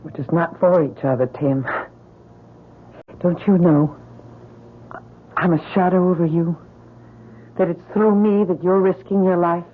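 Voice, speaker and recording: A woman's voice: lowish voice, steady tone, a little slow, long pauses. An actress playing a strong-willed, fatalistic young woman, earnest and melodramatic, in an old-time radio drama. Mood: sorrowful. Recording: muffled old radio with faint noise.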